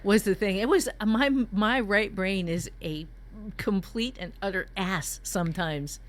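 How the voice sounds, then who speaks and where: A woman's voice: mid-range voice, measured pace. A woman teaching a writing workshop in a conversational way, candid and joking as she explains. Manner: candid, jokey, mildly profane.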